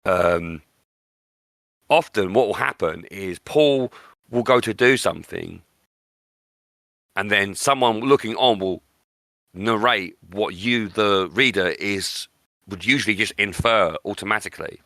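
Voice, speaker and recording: Deep-voiced man giving emphatic book opinions. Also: unhurried, long pauses, pushed and bright, no room sound, clean studio sound. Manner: emphatic, repeats words for stress. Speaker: podcast host.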